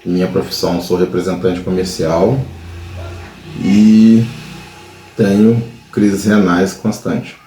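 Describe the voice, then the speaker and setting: A man's voice: low-pitched, fairly even intonation, slow pace, long pauses, mellow, slight background noise. A man in his forties with a Brazilian Portuguese accent, giving brief, plain answers about his own health in an interview. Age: 40s. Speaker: interviewee, sales representative. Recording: echoey room.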